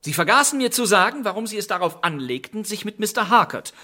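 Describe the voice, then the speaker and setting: A man playing a composed, polite English gentleman detective in a radio crime drama, making deliberate, theatrical revelations. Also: high-pitched, expressive, exaggerated voice. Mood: measured, revelatory.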